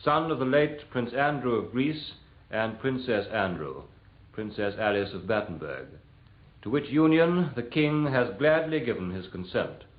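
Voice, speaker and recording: A man with a British accent reads a formal 1940s radio bulletin in a measured way. His pitch is average. The sound is echoey and heavily band-limited, like a vintage broadcast. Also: animated, phone-quality sound. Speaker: radio newsreader.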